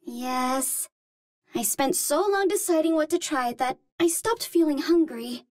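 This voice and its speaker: A woman's voice: sits high, measured pace, darkish tone, no echo, studio quality. An actress playing a cute, naive young Martian space healer, speaking in a sweet, girlish, precise and formal way. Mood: sheepish.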